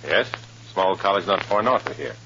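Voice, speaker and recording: A low-voiced, guarded undercover agent. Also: male voice, normal speed, sharp tone, slight background noise. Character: guarded, tense male undercover agent posing as loyal communist. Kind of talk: 1950s radio drama narration and acting. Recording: dull old radio recording, music behind.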